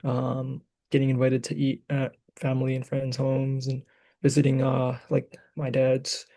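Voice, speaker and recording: Young man from Michigan, guest on a casual podcast, monotone, mellow gravelly voice, hesitant delivery. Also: mid-range voice, unhurried. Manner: hesitant, many fillers. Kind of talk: casual chat.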